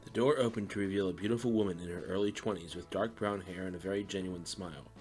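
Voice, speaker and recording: A man's voice: low-pitched, fairly even intonation, quick pace, a little mellow, soft background music. A man reading scripted fiction as the narrator of a dramatized audiobook.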